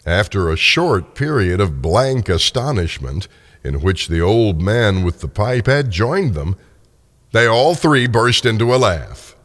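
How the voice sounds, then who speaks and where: A man's voice: lowish voice, sing-song, unhurried. A man reading dramatic scripted narration as a storyteller, with a long hall-like reverb on the voice.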